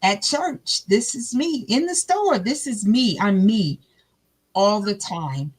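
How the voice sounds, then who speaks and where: A woman with a big, loud voice, a guest talking about her faith in a lively way and acting out her own conversations. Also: mid-range voice, slow pace, breathy edge. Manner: animated, plays out her own dialogue. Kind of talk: faith testimony chat.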